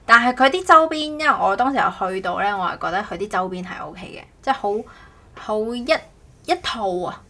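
A woman co-hosts a casual podcast, chatting haltingly and often correcting herself. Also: medium pitch, expressive, bright, forceful tone. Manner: halting, repeats words, self-correcting. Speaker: podcast host. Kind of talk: casual co-hosted podcast chat.

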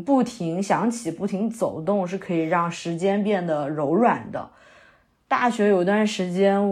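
A woman's voice: mid-range voice, lightly acted. A woman acting a young, sentimental, theatre-loving new graduate in a naturalistic audio drama, speaking in a hesitant, conversational way. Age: young adult. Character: young woman fresh out of university, wandering, sentimental theatre lover.

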